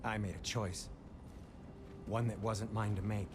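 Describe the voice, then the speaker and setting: A man's voice: fairly low pitch, steady tone, measured pace, background noise, close to an everyday voice. An actor playing an anxious but kindly, fussy male dwarf in a video game cutscene. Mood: guilty, confessional.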